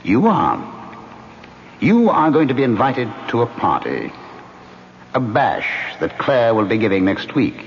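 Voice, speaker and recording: A man's voice: mid-range voice, sing-song, unhurried, pauses often, a little hiss or hum. An actor playing a smug, sinister male ghost in an old radio mystery play, coaxing his victim into a murder plot. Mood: scheming. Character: smug scheming male ghost who speaks unseen. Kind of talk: 1970s radio drama acting. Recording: muffled old radio broadcast.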